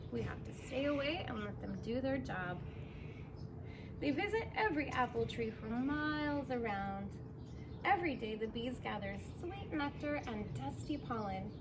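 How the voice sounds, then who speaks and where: A woman in her thirties or forties reads a picture book aloud to young children, expressively, drawing out words and adding simple asides and questions. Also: sits high. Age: 30s or 40s. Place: outdoors in a fenced backyard. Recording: outdoor recording with clear background noise.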